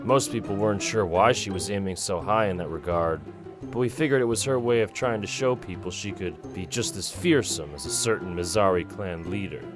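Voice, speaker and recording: Man as calm, deep-voiced clan member. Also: a little fast, over music, natural voice. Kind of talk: conversational animated visual novel acting.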